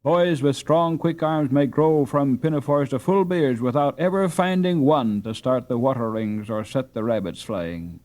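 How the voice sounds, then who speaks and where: A man's voice: medium pitch, moderate pace, hardly any pauses, darkish tone. Man narrating a classic storybook. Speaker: audiobook narrator.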